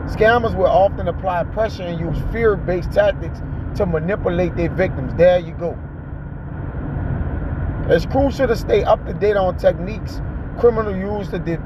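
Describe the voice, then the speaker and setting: A man's voice: high voice, moderate pace. A man hosting a ride-along talk, reading aloud and commenting in a colloquial, exhorting way with frequent checking tags, recorded inside a vehicle. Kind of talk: preachy casual commentary, reading an article aloud and riffing. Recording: muffled audio with loud car noise. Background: car and road noise.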